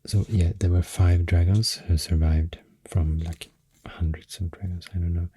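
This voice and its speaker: Casting: man, Swedish accent, very dark low voice, soft and breathy, slow halting ASMR ramble, close-miked. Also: flat delivery, no room sound. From Sweden. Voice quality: deep, soft, breathy, half-whispered. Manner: hesitant, slow, halting, self-correcting. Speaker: ASMR artist. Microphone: close microphone.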